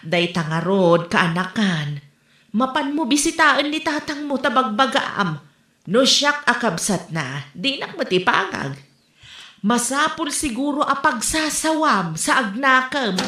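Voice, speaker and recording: Nagging, exasperated sister, Ilocano accent, high-pitched, echoey room female actor, comedy audio drama. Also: very animated, measured pace, sharp tone, full character voice. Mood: advising. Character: scolding, exasperated sister of a feckless man. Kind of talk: Ilocano comedy radio-style drama acting. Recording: roomy echo.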